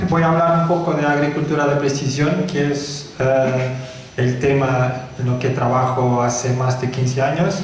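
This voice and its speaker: Portuguese man speaking haltingly in an echoey hall. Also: medium pitch. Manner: hesitant, halting, with many fillers and restarts. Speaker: company founder giving a talk. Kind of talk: conference presentation. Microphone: handheld microphone. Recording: very echoey hall with faint noise.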